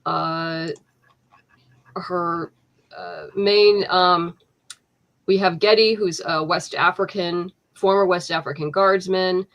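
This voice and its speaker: Chatty woman with a lowish voice. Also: steady tone, slow, a bit bright. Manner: chatty, many fillers and restarts, laughs easily. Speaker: comic book writer, guest. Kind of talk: casual interview chat.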